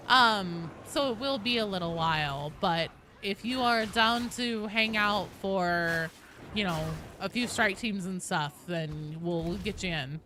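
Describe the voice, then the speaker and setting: Woman streamer chatting over clear background noise. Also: a little high, sharp tone. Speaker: game streamer. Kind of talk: casual live-stream chat, answering viewers.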